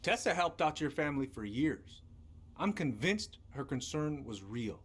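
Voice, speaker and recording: An actor playing a gruff, weary middle-aged American lawman in a naturalistic game drama. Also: a little high, very animated, unhurried, a bit bright, full character voice. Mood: defensive, earnest. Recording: noisy game capture with hum.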